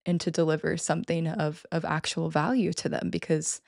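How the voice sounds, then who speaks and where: Woman guest, gravelly and fairly monotone, chatty and keen. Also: deep voice, brisk, no room sound, clean studio sound. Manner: enthusiastic, many fillers and restarts. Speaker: podcast guest. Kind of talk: casual interview talk.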